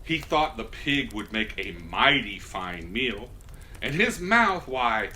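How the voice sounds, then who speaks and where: Slow, animated male storyteller. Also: medium pitch, a little hiss or hum. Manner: folksy, theatrical. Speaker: storyteller presenting as Santa. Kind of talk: animated children's story reading.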